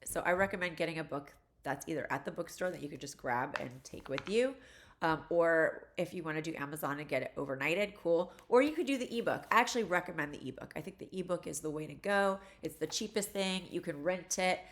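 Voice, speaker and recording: A woman's voice: deep voice, brisk. A woman in her forties, a college instructor, casually talking through a course introduction video, congested and stuffy from allergies, chatty and rambling with lots of fillers.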